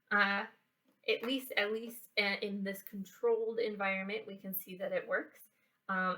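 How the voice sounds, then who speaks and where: Woman presenter with creaky voice, off mic. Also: medium pitch, pushed and bright, distant mic. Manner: halting, explanatory, checks in with "right?". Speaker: library children's program presenter. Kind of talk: casual how-to craft and science demonstration. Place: indoors, in a library building.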